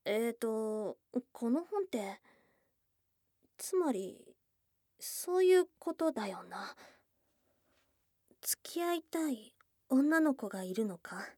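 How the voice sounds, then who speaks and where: A woman voicing a shy, tomboyish young goblin girl in an intimate ASMR voice drama, soft and breathy, often dropping to a whisper, close to the microphone. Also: on the high side, very animated, slow, long pauses, no room sound, exaggerated voice. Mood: curious, puzzled. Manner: blunt tomboyish speech, tender and hesitant. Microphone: close, dry studio microphone.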